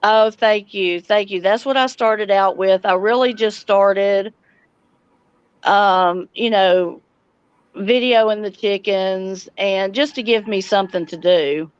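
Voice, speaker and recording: A woman's voice: mid-range voice, slow pace, clear, resonant tone, no room sound, dull audio. A middle-aged woman hosting a casual livestream, chatting and answering viewer comments. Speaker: livestream host and homesteading vlogger.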